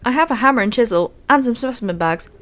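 Woman playing an earnest, higher-voiced young pilot. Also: animated, fast talker, a bit bright. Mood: practical. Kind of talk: audio drama dialogue.

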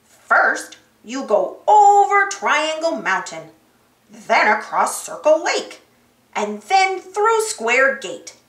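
A talking map from a children's storybook, voiced by a woman, confident and instructive. It stretches out its directions slowly and emphatically, with big swoops in pitch. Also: big pitch swings, fairly bright tone, reverberant, distant mic, exaggerated voice.

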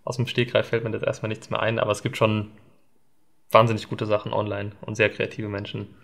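A man's voice: on the low side, flat delivery, a little fast, gravelly, fairly bright tone. A young man talking as a podcast guest, thoughtful and hesitant, with many filler ums. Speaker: guest, videographer. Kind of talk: casual interview chat. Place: indoor studio set at a desk.

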